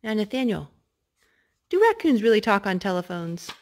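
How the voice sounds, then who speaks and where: A woman reading a picture book aloud to a young child, gently asking him questions between pages. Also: mid-range voice, big pitch swings, normal speed. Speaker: storyteller.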